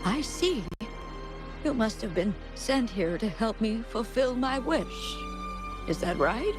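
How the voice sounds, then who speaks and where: A woman acting a gentle, grieving old mother in a video game, polite and wistful in an unhurried way. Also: fairly high pitch, sing-song. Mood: wistful, hesitant. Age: older. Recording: game music behind the voice.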